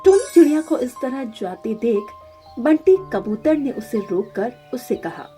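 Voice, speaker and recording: Casting: female storyteller for kids' cartoon, high register, scripted narration. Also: sing-song, measured pace, dark tone, soft background music.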